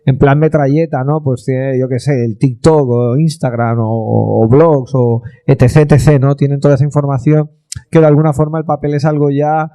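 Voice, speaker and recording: A man's voice: mid-range voice, fairly even intonation, moderate pace, mellow, clear, resonant tone, no room sound. A man speaking on a panel debate, reflective and nostalgic, often tagging his points with questions.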